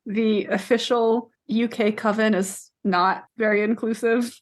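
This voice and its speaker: A woman co-hosting a podcast, discussing books casually in a measured, questioning way. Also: fairly high pitch, steady tone. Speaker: podcast co-host.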